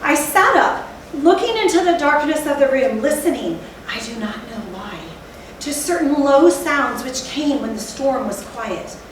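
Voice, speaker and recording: A woman playing a haunted, fearful narrator in theatrical Gothic storytelling, with a deliberate, literary delivery. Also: high-pitched. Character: haunted, fearful narrator recalling a night of horror. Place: theatre stage, recorded from a distance. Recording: echoey hall, distant mic, faint noise.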